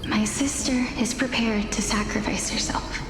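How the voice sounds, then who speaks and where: A woman playing a gentle, fearful and sorrowful young noblewoman in a dramatic game, speaking formally and haltingly. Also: a little high, fairly even intonation, lightly acted. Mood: anguished, afraid. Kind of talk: English game voice acting, dramatic. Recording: echoey hall reverb, music behind.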